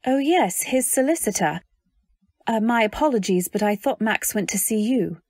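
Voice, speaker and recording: Genteel young wife in radio drama. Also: female voice, a little high, animated, a little mellow, dry recording, studio quality. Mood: polite, shy. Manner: genteel, earnest, measured. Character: polite, timid young new wife narrating her story. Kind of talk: old-time radio drama, first-person narration and dialogue.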